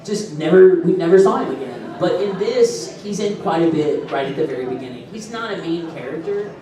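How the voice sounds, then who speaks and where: A man's voice: fairly high pitch, expressive, a little fast, crowd noise. A man talking casually and animatedly at a convention panel, telling stories with frequent laughs. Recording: echoey room from far off.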